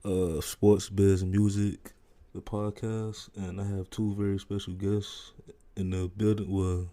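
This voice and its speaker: Deep, flat-voiced man hosting a podcast. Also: slow. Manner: relaxed, filler-heavy questions. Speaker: podcast host. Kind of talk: casual interview. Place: university library.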